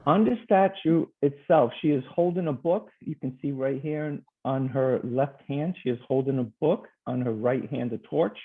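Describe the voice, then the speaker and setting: A man from Portugal teaches over a video call, friendly and a little hesitant, filling pauses with ums as he walks through his slides. His voice comes through a thin, tinny call line. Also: medium pitch, husky. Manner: friendly, hesitant, with many fillers.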